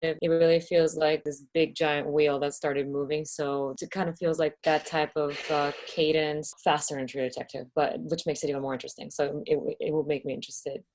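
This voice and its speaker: Female, conversational reviewer, low register, little pitch variation. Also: level tone, a little fast, hardly any pauses, a little mellow. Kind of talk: casual opinion.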